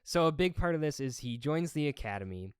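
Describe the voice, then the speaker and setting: A man's voice: on the high side, expressive, a little fast, smooth, no echo. Casual man, dry close recording. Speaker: film podcast speaker. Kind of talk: casual film discussion.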